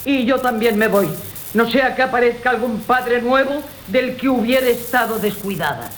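An actor playing a busybody, scheming gentleman in a comic period radio play. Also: extremely high pitch, moderate pace. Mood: urgent, sly. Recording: echoey radio recording with hiss.

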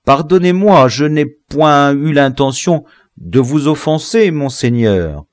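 Man acting a high-pitched, stammering, cowardly prisoner. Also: animated, slow pace, a little mellow, dry recording, studio quality. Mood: apologetic, pleading. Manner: stammering, humble, pleading. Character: cowardly, servile, trembling male colonial prisoner.

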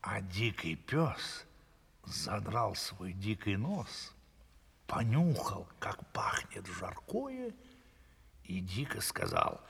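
A man narrating a dramatized fairy tale in a theatrical, drawn-out way, in a reverberant space. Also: lowish voice, wildly swooping pitch, vocal fry, fairly bright tone, breathy edge. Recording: long reverberant hall.

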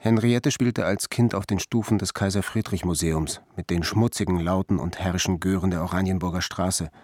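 A man narrating scripted literary prose in a measured, declarative manner. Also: low-pitched, steady tone, darkish tone, no room sound, clean studio sound.

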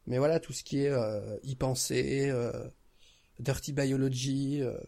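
A man's voice: medium pitch, steady tone, unhurried, gravelly, warm tone. A man talking casually as a podcast guest, hesitating as he searches for words. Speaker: podcast guest, audio fiction creator. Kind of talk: casual one-on-one chat.

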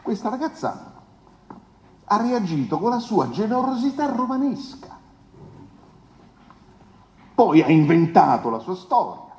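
A man's voice: high-pitched, darkish tone, exaggerated voice. An actor playing a condescending, sardonic male defence lawyer in a 1970s Italian courtroom drama, delivering a pompous, theatrical oration. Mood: scornful, rising. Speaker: defence lawyer in a stage play. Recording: echoey hall, faint noise.

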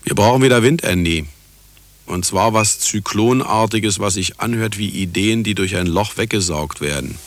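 An actor playing a cynical, self-justifying scientist and husband in a radio play, sardonic and condescending, with a gravelly voice. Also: male voice, on the low side, normal speed, slight background noise, close to an everyday voice. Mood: sardonic, agitated. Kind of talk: radio play acting, monologue and dialogue.

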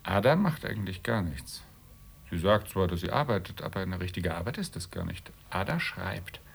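A grumbling husband in a radio play. His voice is low, gravelly and husky, and he talks bluntly, always needling. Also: very animated, moderate pace, heavily acted. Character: grumbling, blunt, nagging husband, middle-class Roman journalist. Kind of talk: radio drama acting.

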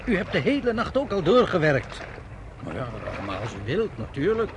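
An actor playing a wheeling-dealing ship seller with an obliging, wheedling manner, in a noisy old radio play recording. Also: male voice, high-pitched, wildly swooping pitch, moderate pace.

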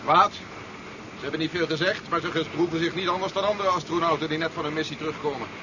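An actor playing a suspicious, self-assured army general in a 1970s science fiction radio play. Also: male voice, fairly high pitch, steady tone, quick pace, natural voice. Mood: urgent, suspicious. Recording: dull, noisy 1970s radio drama recording.

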